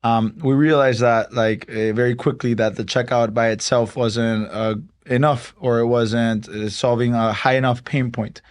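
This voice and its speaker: A young man from Honduras talks as a guest on a podcast, his voice sitting at an ordinary medium pitch. He explains things step by step in a relaxed way, with plenty of "um" and "like" between his points. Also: moderate pace, few pauses, smooth. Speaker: startup co-founder, guest. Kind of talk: casual podcast interview.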